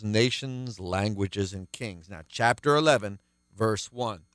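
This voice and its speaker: A man with a deep baritone voice, teaching the Bible on his radio program in an earnest, explanatory way. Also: fairly bright tone, no echo, clean studio sound. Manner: earnest, explanatory, counts things out.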